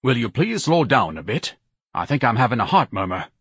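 A man's voice: mid-range voice, very animated, a little fast, fairly bright tone, breathy edge, no echo, clean studio sound, voice pushed up, full character voice. A man voicing a pompous, hypochondriac, very large grown son, grandiose and complaining, in an audiobook. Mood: whining. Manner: grandiose, over-formal, complaining. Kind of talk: audiobook character voice.

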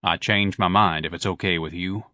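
A man voicing a weary, irritable middle-aged husband who answers in a flat, curt, low voice. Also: normal speed, dry recording, studio quality.

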